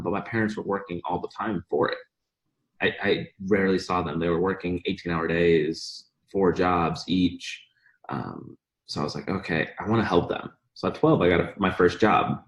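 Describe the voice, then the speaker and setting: A man's voice: low-pitched, low-quality recording. A young man from Bosnia, a podcast guest telling his story in an upbeat, enthusiastic way. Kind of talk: casual interview chat.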